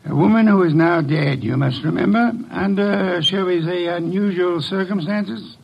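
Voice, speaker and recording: An actor playing a shrewd, imperious American detective in old-time radio drama, pompous and dryly sardonic, with a gravelly voice. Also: male voice, high voice, dull audio, slight background noise. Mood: accusing, deliberate. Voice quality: gravelly, husky. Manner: pompous, deliberate, probing.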